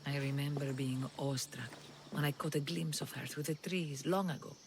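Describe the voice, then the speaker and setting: Low-voiced, alluring huntress priestess. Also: female voice, steady tone, background noise. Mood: awed, tender. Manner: stately, poetic, measured. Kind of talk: video game cutscene acting.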